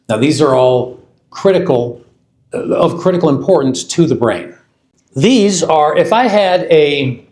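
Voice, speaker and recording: A man's voice: mid-range voice, a little mellow. A man in his fifties, from the United States, giving a conversational product presentation to a live audience, often restarting phrases and stressing each item in his lists. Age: 50s. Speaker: product development executive presenting at a launch event. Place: event hall.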